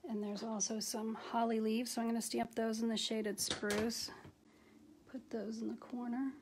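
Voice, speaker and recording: A middle-aged woman casually demonstrating a craft step by step, speaking in an unhurried, halting way as she works with her hands. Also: fairly high pitch, little pitch movement. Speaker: craft tutorial host. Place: indoors at a craft table. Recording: noisy home recording with clicks.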